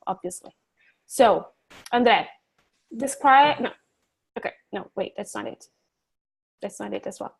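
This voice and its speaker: A woman's voice: medium pitch, a little slow, vocal fry, long pauses, breathy edge, rough recording. A woman teaching an online English class, chatty and encouraging, laughing easily and joking about herself.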